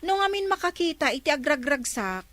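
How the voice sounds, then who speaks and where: High-pitched gossipy younger woman. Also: big pitch swings, brisk, fairly bright tone. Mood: frank, complaining. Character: gossipy younger woman, familiar and frank with elder. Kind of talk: radio drama acting. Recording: music under the voice.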